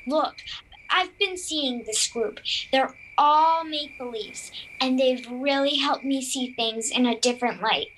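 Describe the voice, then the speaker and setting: A young girl playing an earnest, excitable werewolf truck driver in a children's audio drama, speaking in an exclamatory, conversational way. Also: high-pitched, a little slow, a little hiss or hum, low-quality recording.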